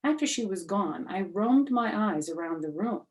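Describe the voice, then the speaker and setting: Woman storyteller, middle-aged or older, medium pitch, expressive read-aloud for children, chatty asides. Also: normal speed, poor audio. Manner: animated emphasis, chatty asides to young listeners.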